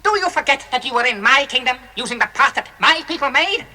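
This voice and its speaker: A very sharp, high-set elf king, voiced by a woman, haughty and stern. The delivery is animated old radio acting with faint noise. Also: quick pace, exaggerated voice. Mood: stern, accusing. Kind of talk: vintage radio drama acting.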